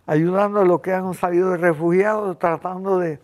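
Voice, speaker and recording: A Cuban woman in her eighties hosting a conversation, talking in an impassioned, rambling way and often clearing her throat. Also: lowish voice, steady tone, moderate pace, a little mellow. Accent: Cuban Spanish. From Cuba. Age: 80s. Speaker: host. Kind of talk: casual conversation.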